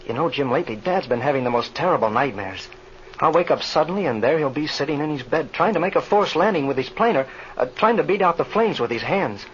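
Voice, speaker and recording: A man's voice: fairly high pitch, lively intonation, fast talker, close to an everyday voice. Earnest, anxious young American pilot male actor, boyishly polite, 1930s radio drama style, muffled and a bit noisy. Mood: worried. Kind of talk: 1930s radio serial acting.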